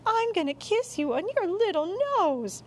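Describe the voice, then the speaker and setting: A woman's voice: extremely high pitch, big pitch swings, moderate pace, slight background noise, voice pushed up. A doting storybook mom, as a woman narrator plays her, coos in a raised, swooping voice. She is fussy and full of affection. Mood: gushing affection. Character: doting mother cooing baby talk at her cat. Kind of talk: audiobook character voice.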